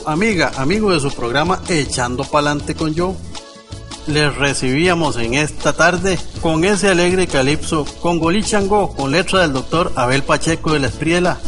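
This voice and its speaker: A man's voice: fairly high pitch, fairly bright tone. A man presenting and reading scripted narration as a radio host. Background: music.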